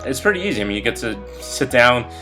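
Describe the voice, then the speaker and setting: A man in his early twenties, a little congested from a cold, talking candidly in a relaxed personal vlog with lots of fillers. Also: medium pitch, fast talker, over music. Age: 20s. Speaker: vlogger.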